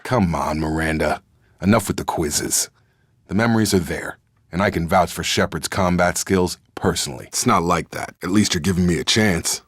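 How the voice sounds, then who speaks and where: A man's voice: sits low, breathy edge, no echo, studio quality. A man playing a loyal, guarded young soldier in a sci-fi video game, speaking in an earnest, controlled, matter-of-fact way.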